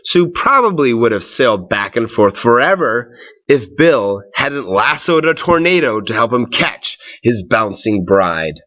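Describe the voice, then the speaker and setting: Expressive, slow-paced man reading a children's story. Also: medium pitch, dull audio. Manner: expressive, deliberate phrasing with drawn-out words and pauses. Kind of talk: read-aloud of a children's tall tale.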